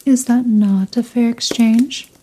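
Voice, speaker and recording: A possessive vampire mistress, acted by a woman in an intimate ASMR roleplay. Her voice is very soft and velvety dark, a little high, and she speaks very slowly. She is formal and teasing, with a quiet air of command. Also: fairly even intonation, slight background noise, rough recording. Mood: amused, possessive. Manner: slow, teasing, formal, softly commanding, breathy murmurs. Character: seductive, possessive female vampire, wealthy and dominant.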